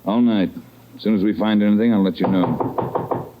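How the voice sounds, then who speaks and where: An actor playing a stern police captain in a 1940s radio crime drama, giving curt, authoritative orders. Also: male voice, on the low side, normal speed, noisy background, natural voice. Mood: businesslike. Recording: dull old radio recording.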